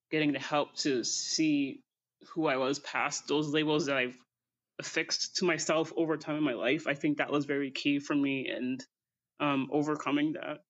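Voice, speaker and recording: A woman from Canada with a deep voice and a stutter, answering interview questions thoughtfully. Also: highs cut off. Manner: thoughtful, measured. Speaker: podcast guest.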